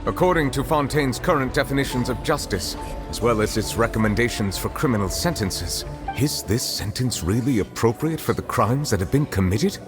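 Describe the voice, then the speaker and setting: A man voicing a solemn, courteous chief judge in a video game cutscene, speaking formally and deliberately with composure. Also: medium pitch, expressive, over music, lightly acted. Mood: measured, questioning.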